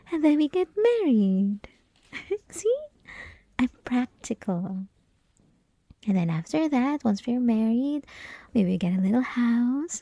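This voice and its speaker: Yandere student played by a young woman: teasing, coy, sweetly possessive, slow breathy delivery with some vocal fry, intimate ASMR roleplay. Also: medium pitch, dry recording. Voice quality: soft, breathy, often whispering. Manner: teasing, coy, giggly, lingering pauses. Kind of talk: ASMR roleplay, intimate one-sided conversation. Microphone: close ASMR microphone, dry.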